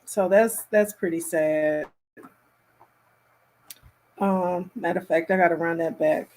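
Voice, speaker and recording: A woman hosting a casual reaction commentary, speaking in an appalled, emphatic and halting way. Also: lowish voice, darkish tone, poor audio.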